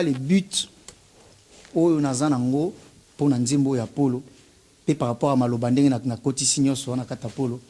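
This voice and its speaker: Congolese man, Congolese French accent, mellow darker tone, earnest interview guest. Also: mid-range voice. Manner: earnest, repeats words while searching. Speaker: guest musician. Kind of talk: casual interview talk mixing French and Lingala.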